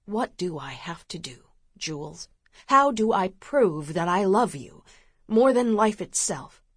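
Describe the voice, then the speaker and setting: A woman voicing a stubborn, hurt young male rancher in an audiobook, speaking low, firm and hushed. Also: sing-song, a little slow, husky, no echo, studio quality. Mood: pleading.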